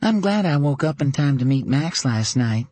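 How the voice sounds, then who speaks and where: A man voicing a sharp-witted woman who teases her best friend with dry, quick banter. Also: mid-range voice, lively intonation, no room sound, soft background music, voice pushed up, lightly acted. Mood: playful.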